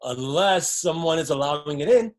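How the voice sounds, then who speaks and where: A man's voice: a little high, expressive, normal speed, lightly acted. An actor playing a defiant, hopeful man plotting escape in a stage drama, speaking earnestly and emphatically. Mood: suspicious. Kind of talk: dramatic stage-play dialogue.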